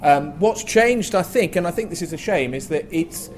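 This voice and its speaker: A man's voice: fairly high pitch, expressive, normal speed, faint music behind. A British man talking in a reflective, explanatory way as a panelist in an informal discussion. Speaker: panelist, pantomime author. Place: live panel in a theatre. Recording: faint background noise, live event.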